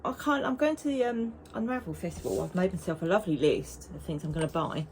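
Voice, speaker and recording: Knitting vlogger, woman, lower voice, chatty, restarts her sentences, quiet room hum. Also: expressive, fast, rough recording. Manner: chatty and rambling, with frequent restarts. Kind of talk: casual chat to camera.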